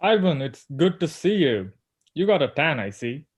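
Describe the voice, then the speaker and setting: Man playing an animated, conflicted secret agent. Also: a little high, normal speed, breathy edge. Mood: friendly. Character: uneasy thirty-something male agent, torn between duty and friend. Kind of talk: scripted table read.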